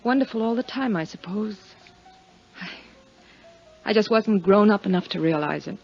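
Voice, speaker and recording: Lonely young widow, soft and hesitant, mid pitch, muffled old radio sound. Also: female voice, lively intonation, moderate pace, creaky, faint music behind. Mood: wistful. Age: 20s. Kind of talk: 1950s radio drama acting. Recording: muffled 1950s radio broadcast.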